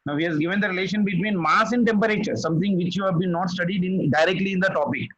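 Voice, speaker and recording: A man's voice: sits high, racing, a bit bright, dull audio. A man tutoring physics, patient, repeating key phrases and checking that his student follows. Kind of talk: one-on-one tutoring session.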